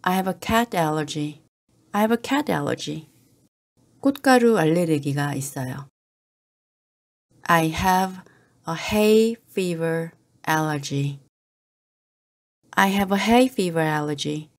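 Woman slowly drilling English phrases. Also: low voice, animated. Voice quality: careful, clipped repetition. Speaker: English teacher. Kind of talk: slow drill reading of phrases.

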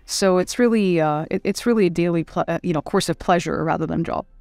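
A woman's voice: fairly low pitch, brisk, creaky, darkish tone, no echo. Thoughtful woman, dry studio sound. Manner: thoughtful, many fillers and restarts. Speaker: private equity managing director, guest. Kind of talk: conversational interview. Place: studio set with city backdrop. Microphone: desk microphone.

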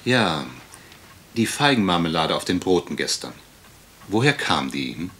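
A man playing a brisk, insistent investigator in a radio crime drama, with clipped, probing questions. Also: low voice, big pitch swings, fairly bright tone, slight background noise, heavily acted. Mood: probing, businesslike.

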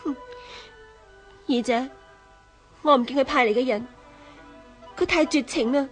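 An actress with a Hong Kong Cantonese accent playing a young, spoiled imperial consort in a radio drama, coquettish and petulant. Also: female voice, high voice, measured pace, soft background music. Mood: sulky. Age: young adult.

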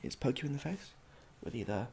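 Young male, ASMR medical roleplay. Soft-spoken, hesitant, awkwardly courteous, with vocal fry and varied intonation. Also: medium pitch, animated, slight background noise. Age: young adult. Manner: halting, hesitant, awkwardly polite. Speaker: ASMR performer playing a medical examiner. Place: small domestic room indoors.

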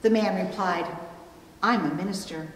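A middle-aged woman pastor delivering a sermon in a measured, deliberate way, recorded in a church room. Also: on the low side, a little hiss or hum. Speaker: pastor preaching. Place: indoor church room at a pulpit. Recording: echoey hall, long reverb, distant mic.

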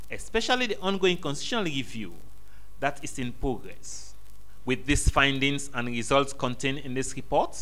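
Bright, animated male reporter. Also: fairly high pitch. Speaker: television news reporter. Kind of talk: emphatic scripted news report.

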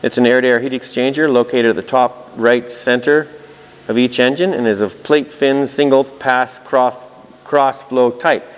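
A man's voice: mid-range voice, steady tone, a little slow. Male explainer host, mid-range voice, talks through technical diagrams conversationally with dry asides, recorded with a long reverberant tail. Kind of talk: informal technical walkthrough of diagrams, partly read aloud. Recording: reverberant room with long echo.

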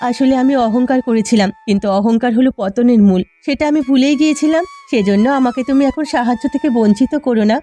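A woman's voice: on the high side, fast talker, hardly any pauses, warm tone, faint music behind, close to an everyday voice. A woman voicing a humbled, once-arrogant village woman in a cartoon, pleading for help. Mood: pleading, apologetic. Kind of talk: cartoon voice acting.

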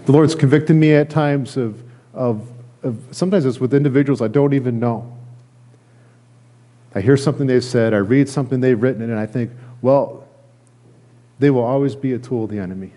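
Middle aged american guy pastor preaching, soft mellow tone, earnest, kinda halting. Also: mid-range voice. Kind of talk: sermon. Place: church sanctuary, indoors at a lectern.

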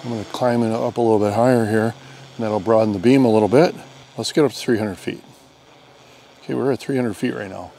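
A man's voice: lowish voice, fairly even intonation, quick pace, creaky, warm tone, rough recording. A man in his fifties with an American accent gives a plainspoken, explanatory demonstration and review in a conversational style. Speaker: product reviewer and drone pilot. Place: outdoors on an open lawn. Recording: outdoor recording with background noise.

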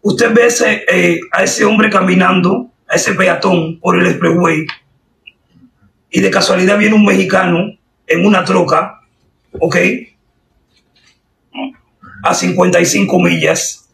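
Creaky deadpan Cuban woman, roomy sound. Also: fairly low pitch, slow, pauses often. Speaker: prank caller posing as driving examiner. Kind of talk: prank phone call, improvised quizzing. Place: indoors at a desk. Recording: roomy, distant sound with faint noise.

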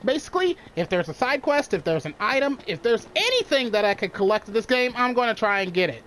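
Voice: medium pitch, expressive, fast talker, a bit bright, slight background noise. A gamer giving casual let's play commentary, with a nose stuffed up from a cold. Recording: voice-over with game music behind. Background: video game music and sounds.

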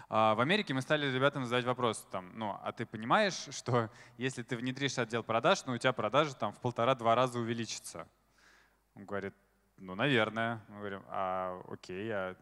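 A man's voice: mid-range voice, a bit bright. Man speaking on stage in a reverberant hall. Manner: conversational, filler-heavy, asks the audience questions. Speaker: conference speaker. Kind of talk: live stage talk to an audience. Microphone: handheld microphone.

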